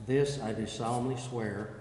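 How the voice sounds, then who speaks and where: A man in a formal official role, administering an oath in short, measured, solemn phrases in a council chamber. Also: medium pitch, fairly even intonation, crowd noise. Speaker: police chief administering an oath. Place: large echoey council chamber. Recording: echoey hall, distant mic, people audible.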